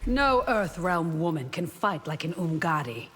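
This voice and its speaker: An actress playing a stern, proud royal guard warrior, curt and disdainful, in game banter. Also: female voice, fairly low pitch, very animated, measured pace, a bit bright, slightly rough, exaggerated voice. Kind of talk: video game character banter. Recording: long hall reverb, faint background noise.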